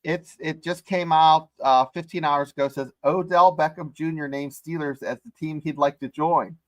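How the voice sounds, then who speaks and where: A man co-hosting a sports podcast who talks in a measured, sensible way. His voice sits a little high and sounds dry and close, with no room around it. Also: fairly even intonation, no room sound. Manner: measured, reasonable, reads out news. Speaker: podcast co-host. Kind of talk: casual sports podcast chat.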